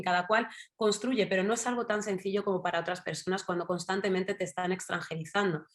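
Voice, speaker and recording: A woman from Spain with a Madrid accent, speaking as a journalist guest in an online panel, thoughtful and digressive, full of fillers and tag questions. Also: fairly low pitch. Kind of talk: casual talk in an online panel.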